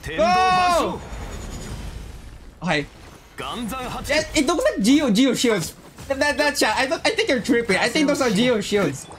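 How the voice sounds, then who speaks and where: Excitable game streamer repeating short phrases. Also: high-pitched, a little slow, pauses often, background noise. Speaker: livestreamer playing a game. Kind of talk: casual live gameplay commentary.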